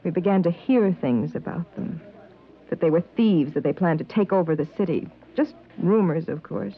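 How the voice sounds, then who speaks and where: A woman's voice: on the low side, animated, normal speed. A woman playing a resigned, uneasy citizen of a conformist regime in an old-time radio drama. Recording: thin, phone-like old radio recording.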